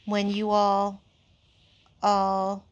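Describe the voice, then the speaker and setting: A woman's voice: medium pitch, monotone, very slow, clean tone. A woman voicing an earnest, fair-minded schoolboy leader in a storybook, with emphatic speech-making. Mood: grave. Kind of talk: storybook character voice.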